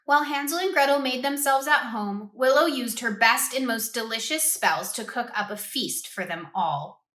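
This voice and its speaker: A woman reads a children's picture book aloud with a bright, clear, slightly high voice. She tells the story expressively and stops to ask her young listeners questions. The voice echoes in a roomy space. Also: expressive, moderate pace. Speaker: read-aloud host for children. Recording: roomy echo.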